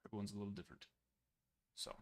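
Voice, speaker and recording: A young man hosting a live card break, chatting casually and reading numbers off in a steady list, his voice a little worn. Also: fairly low pitch, very fast, a little hiss or hum. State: losing his voice.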